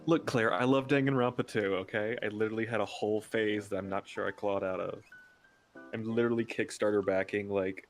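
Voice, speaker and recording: A man's voice: lowish voice, steady tone, fairly quick, soft background music. A man in casual livestream banter, a guest who talks in a joking, playfully dramatic way. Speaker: stream guest and artist.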